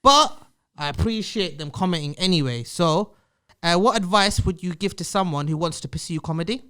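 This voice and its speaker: A young man hosting a casual podcast interview, chatty and quick to agree. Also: sits high, animated, bright, forceful tone, smooth. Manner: friendly, constant "yeah" backchannel. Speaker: podcast host.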